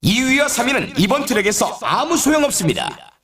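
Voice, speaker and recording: A man voicing an excitable snowboard race announcer in a video game, with polished, showy, emphatic commentary. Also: extremely high pitch, a little fast, exaggerated voice. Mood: emphatic, announcing.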